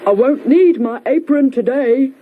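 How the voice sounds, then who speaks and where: A woman voicing a cheerful, busy butcher and family dad in a children's story, brisk and organising, on a noisy recording. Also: sits high, expressive, narrow, tinny audio, pitched above the performer's own voice.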